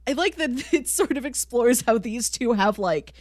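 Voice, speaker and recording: A woman's voice: on the high side, expressive, moderate pace, darkish tone, no echo, studio quality. A woman hosting a podcast, chatting casually about a cartoon series. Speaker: podcast host.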